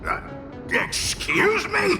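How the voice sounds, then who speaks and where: A man voicing a cranky, cocky spirit companion in a video game, brash and gravelly. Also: very high-pitched, wildly swooping pitch, very slow, full character voice. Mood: brash, indignant. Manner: brash, swooping, exclaiming. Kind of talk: scripted video game voice acting. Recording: voice under prominent music.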